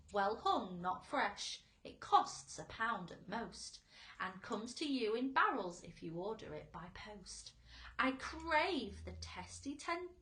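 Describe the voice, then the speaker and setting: Woman playing a boastful centipede, roomy and animated. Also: fairly high pitch, exaggerated voice. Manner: declamatory, rhythmic verse delivery. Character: boastful, exuberant, greedy centipede reciting a food song.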